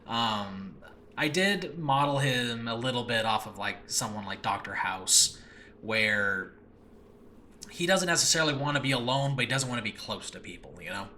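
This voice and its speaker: A man's voice: mid-range voice, lively intonation, moderate pace, sharp tone, a little hiss or hum. A man talking casually and enthusiastically as a solo podcast host about his own project, rambling and often searching for words in a makeshift home recording. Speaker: podcast host and audio drama creator. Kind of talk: casual solo talk previewing own show.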